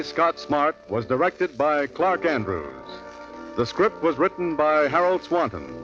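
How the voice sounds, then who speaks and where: A man reading sponsor messages and show credits in an upbeat, emphatic announcing manner. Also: a little high. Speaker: radio announcer. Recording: dull old radio broadcast with music.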